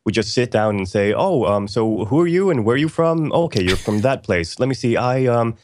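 A man from Iceland, a regular on a podcast panel, explaining things calmly with dry, matter-of-fact humor. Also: medium pitch, fairly quick, a little hiss or hum. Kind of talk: casual panel chat.

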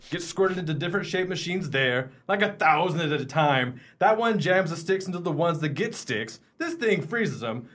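A man's voice: on the high side, a bit bright. A man playing a pushy, cheerfully overconfident ice cream truck driver in a radio play, with folksy, brash salesman patter. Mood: matter-of-fact patter.

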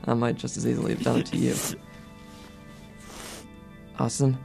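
A man playing a calm, forgiving, nerdy wounded teenage boy in a radio drama, earnest and matter-of-fact, with a gravelly voice. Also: mid-range voice, moderate pace, faint music behind. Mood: resigned, forgiving.

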